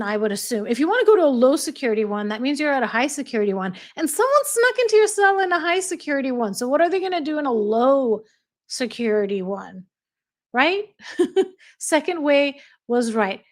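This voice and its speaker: A chatty American woman hosting a livestream in a relaxed, conversational way. Her voice sits a little higher than most women's. She reads comments aloud and laughs easily between thoughts. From United States. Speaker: livestream host. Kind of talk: casual livestream chat reading viewer comments.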